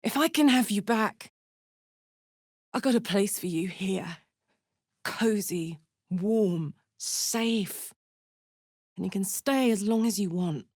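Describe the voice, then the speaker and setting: A woman's voice: mid-range voice, takes it slowly, pauses often, darkish tone, no echo, studio quality. An actress voicing a brash, big-hearted tiefling warrior woman in a video game, talking bluntly like a battle-hardened soldier with her feelings in the open. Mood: tender. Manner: blunt, informal, emotionally open soldier's talk. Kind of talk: video game character voice acting.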